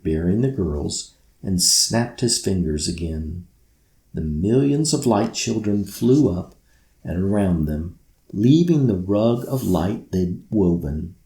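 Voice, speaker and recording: A man's voice: low voice, a little mellow. A man reading his own children's story aloud as scripted storybook narration. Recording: roomy echo.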